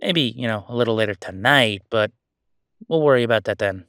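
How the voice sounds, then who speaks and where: A man's voice: lowish voice, a little fast, smooth, no echo. Man playing a playful, chatty ghost roommate, dry close sound. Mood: chatty, relaxed. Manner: excitable, chatty, joking, hesitating conversationally. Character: friendly male ghost roommate, playful, mischievous, chatty jokester. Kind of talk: casual roleplay audio drama.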